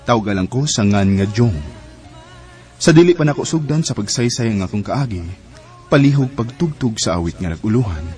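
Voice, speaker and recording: A man's voice: mid-range voice, expressive, moderate pace, a little mellow, faint music behind, lightly acted. An actor playing a letter sender introducing his life story in a radio drama, with a Cebuano Filipino accent. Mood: earnest. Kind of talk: scripted radio drama narration.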